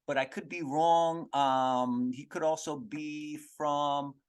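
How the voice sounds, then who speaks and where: A man's voice: on the high side, steady tone, slow, smooth. Rambling, foul-mouthed man hosting a podcast. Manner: swears freely. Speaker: podcast host. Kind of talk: rambling casual comedic monologue answering sent-in questions.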